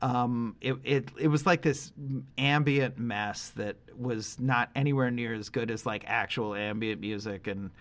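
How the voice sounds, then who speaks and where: Male podcast host, forties, average pitch. Conversational music talk, opinionated and emphatic, wanders through his points. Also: measured pace, hardly any pauses, fairly bright tone. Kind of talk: casual conversational music discussion.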